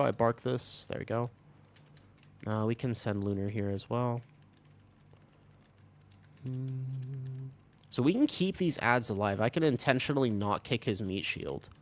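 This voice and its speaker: A man streaming a video game live, chatting with viewers and explaining tactics while he plays, his voice still raspy from being sick. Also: medium pitch. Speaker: streamer playing a tank. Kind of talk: live gameplay commentary.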